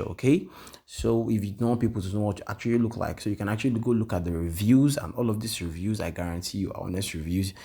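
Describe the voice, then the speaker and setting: A man giving a software tutorial, often checking "okay?" and filling pauses with "um". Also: lowish voice, breathy edge. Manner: repetitive, says "okay?" often, many "um"s. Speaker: tutorial host. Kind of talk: screen-recorded software walkthrough.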